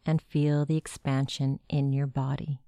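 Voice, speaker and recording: Woman doing guided meditation, low mellow voice, really slow, super dry close sound. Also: little pitch movement, no room sound. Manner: measured, instructional, gentle delivery.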